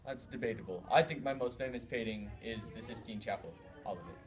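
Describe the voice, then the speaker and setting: A man's voice: lowish voice, quick pace, over music, natural voice. A man playing a boastful Renaissance artist in an offhand way. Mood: smug.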